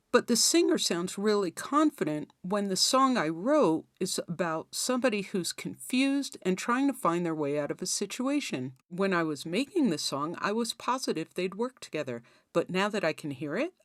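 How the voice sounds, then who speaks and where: A woman's voice: mid-range voice, no room sound, studio quality. A woman hosting a tutorial, explaining things in a conversational, instructional way. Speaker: host of songwriting tutorial.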